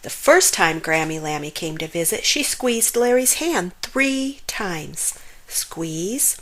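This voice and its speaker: Slow, lively woman reading a storybook. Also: fairly low pitch. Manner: expressive, unhurried storytelling with drawn-out emphasis. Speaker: storybook reader. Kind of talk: children's picture book read-aloud.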